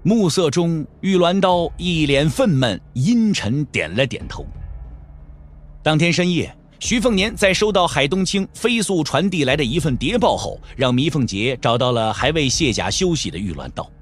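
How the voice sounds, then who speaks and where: A man's voice: fairly high pitch, big pitch swings, moderate pace. A man giving dramatic scripted narration as the storyteller of a martial-arts audio drama.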